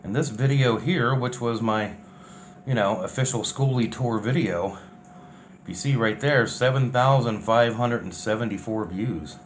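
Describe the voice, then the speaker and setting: A man's voice: mid-range voice, measured pace. Male vlogger, unscripted and offhand, everyday plain talk, faint background noise. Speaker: vlogger documenting a bus build. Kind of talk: casual unscripted vlog chat.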